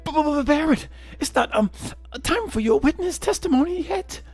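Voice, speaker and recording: Flustered, high-pitched stammering prosecutor. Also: male voice, animated, soft background music. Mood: panicked. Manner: stammering, halting, anxious. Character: flustered, nervous, stammering male prosecutor in courtroom game.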